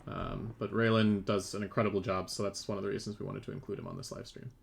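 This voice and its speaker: A man's voice: fairly low pitch, little pitch movement, fast, gravelly. A man talking casually as a game developer on a livestream, explaining his work. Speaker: game developer and 3D modeler on a livestream team panel. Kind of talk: casual livestream chat and demonstration. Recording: faint background noise, keyboard typing.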